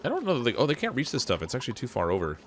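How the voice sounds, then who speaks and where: A man streaming a game live, thinking aloud and answering chat, his voice still lower than usual from a cold, with frequent laughs. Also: huge pitch swings, rapid-fire, slight background noise. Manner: chatty, laughs often, thinks aloud with restarts. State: voice lower from getting over a cold. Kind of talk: live gameplay commentary.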